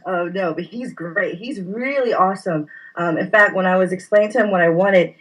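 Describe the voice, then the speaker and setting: A woman's voice: lowish voice, normal speed, fairly bright tone, poor audio. A woman from New York, a professional wrestler, chatting as a guest in a casual interview and laughing easily. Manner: friendly, giggly, easygoing.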